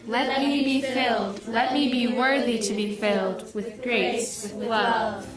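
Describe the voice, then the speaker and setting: Echoing from a distance over loud music, a submissive woman at prayer. Her voice is fairly high and fervent. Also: steady tone, slow.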